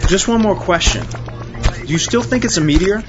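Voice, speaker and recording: A man's voice: high-pitched, moderate pace, lo-fi sound, over music, close to an everyday voice. A man playing an earnest on-the-scene news reporter in a mock live radio report. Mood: earnest, insistent.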